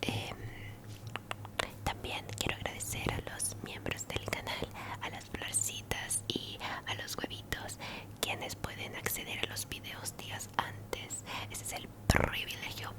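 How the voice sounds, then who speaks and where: A woman whispers in ASMR style, softly repeating words and phrases. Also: slow pace. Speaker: ASMR creator and channel host.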